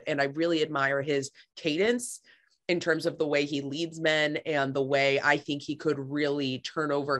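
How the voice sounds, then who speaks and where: A young woman on a video call from her living room, a podcast guest talking sports in a lively, playful, teasing way. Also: low-pitched. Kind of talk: casual sports chat.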